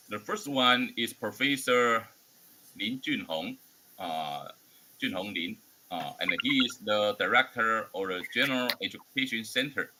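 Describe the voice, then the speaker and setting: A man with a Taiwanese accent gives a formal welcome as host of an online forum. His voice is fairly low and bright, and he speaks haltingly as a native Mandarin speaker. Some noise sits behind him. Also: low-quality recording. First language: Mandarin Chinese. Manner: halting, hesitant non-native English delivery.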